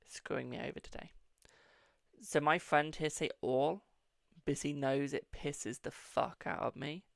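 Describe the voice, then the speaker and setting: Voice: mid-range voice, a little slow, gravelly, no echo, studio quality. A tarot reader from England with a posh-sounding English accent, hosting a live stream, chatting casually, swearing freely and calling viewers "my darling". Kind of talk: live-stream card reading and casual chat.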